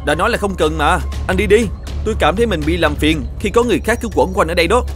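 A man voicing a wary, stubborn, desperate man guarding gold in an animated folktale. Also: high voice, quick pace, faint music behind. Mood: irritated.

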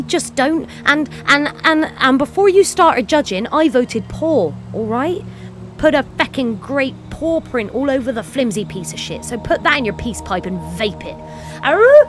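A woman's voice: very high voice, darkish tone, heavily acted. An actress playing a bitter, defiant working-class cleaner and self-styled wolf woman in an audio play monologue, with an earthy, sweary way of rambling. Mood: indignant, then wild howling.

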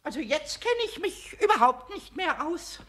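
An actor voicing a small, cheeky, excitable kobold in a children's radio play, theatrical and mock-pompous, repeating words. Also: high-pitched, moderate pace, full character voice. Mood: baffled, indignant.

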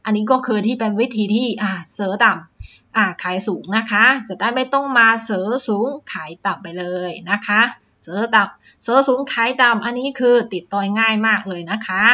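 A woman's voice: fairly high pitch, sharp tone, lo-fi sound. A woman teaching stock chart reading step by step, with frequent "uh" fillers and polite sentence tags. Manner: explains step by step, many "อ่า" fillers and "นะคะ" tags. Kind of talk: screen-share stock chart lesson.

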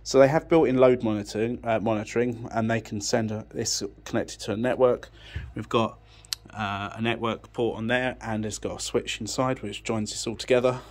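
A man's voice: fairly low pitch, steady tone, normal speed, hardly any pauses. A man giving an informal technical explanation in a matter-of-fact, unscripted way, thinking as he goes. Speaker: audio technician presenting a tech channel. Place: indoors, beside an amplifier rack.

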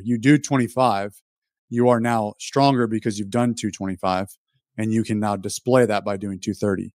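A man's voice: lowish voice, no echo, clean studio sound. A man in his thirties explaining things casually as a guest on a fitness interview. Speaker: fitness YouTuber, podcast guest. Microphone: microphone on a video call.

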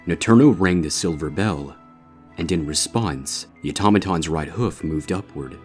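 A man's voice: deep voice, normal speed, a little mellow, faint music behind. A man reading a scripted horror story aloud as its narrator.

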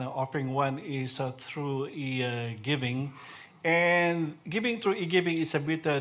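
A man's voice: medium pitch, fairly bright tone. A middle-aged man giving offering announcements at a church service, hesitant and full of fillers, at a lectern microphone. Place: church hall. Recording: dull livestream audio, faint room noise.

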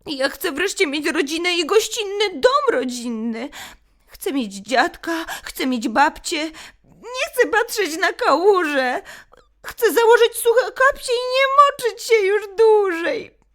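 A woman voicing an excitable, tearful orphan hedgehog boy in a melodramatic children's storybook reading. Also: extremely high pitch, takes it slowly, voice pushed up, full character voice. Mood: tearful, wailing. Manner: melodramatic, exclamatory.

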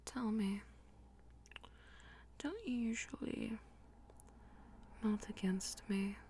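A woman voicing a jealous, dominant vampire lover in intimate ASMR roleplay, mostly in a breathy whisper with a teasing, commanding manner. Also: mid-range voice, lively intonation, very slow, warm tone, slight background noise. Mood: jealous, possessive. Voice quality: breathy, husky whisper with creaky edges.

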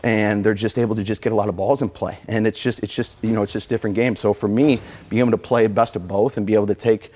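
A man in his twenties, a tennis player, giving rambling and animated answers full of restarts in a post-match interview in an indoor tennis hall. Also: lowish voice, fast, hardly any pauses. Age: 20s.

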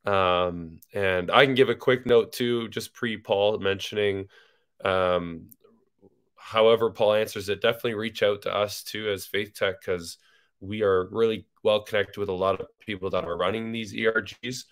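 A young man hosting a video call from a room with a bookshelf, attentive and quick to affirm. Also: fairly low pitch, steady tone, a bit bright, clear, resonant tone. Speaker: host.

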